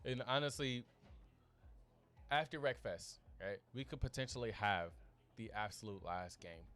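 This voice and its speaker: A man's voice: fairly low pitch, a little slow, fairly bright tone. A man chatting casually as an esports commentator, informal and easygoing, feeling his way through his phrases. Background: background music.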